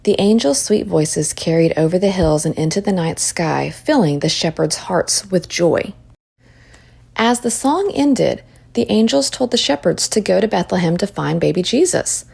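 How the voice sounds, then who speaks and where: A woman reading a children's story aloud in expressive narration. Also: lowish voice, moderate pace, vocal fry, slightly rough.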